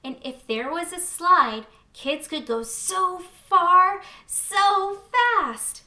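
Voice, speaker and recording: A woman voicing eager, hopeful little girls in a children's storybook, with excited, pleading, sing-song emphasis. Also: extremely high pitch, very slow, echoey room, voice pushed up, heavily acted. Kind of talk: children's storybook character reading.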